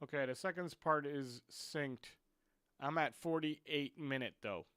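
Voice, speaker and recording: A man live-streaming a football watchalong, switching between muttering while he fixes his stream clock and excited, frustrated rants about the players. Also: on the high side, measured pace, clean tone, dry recording, studio quality. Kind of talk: live stream match commentary.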